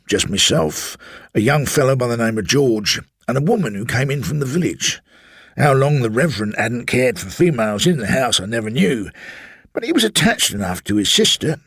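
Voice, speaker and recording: Man acting middle-aged former manservant, storybook reading, raspy voice, lifted above the narrator's low pitch, garrulous, insistent, deferential, uneasy. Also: a bit bright, no room sound, clean studio sound, exaggerated voice. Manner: rapid, insistent, respectful, confiding. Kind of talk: dramatised literary reading.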